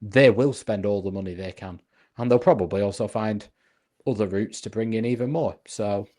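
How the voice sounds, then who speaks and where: A man co-hosting a casual football podcast, reasoning his points out loud with frequent restarts. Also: on the low side, normal speed. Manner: earnest, reasoning aloud with restarts.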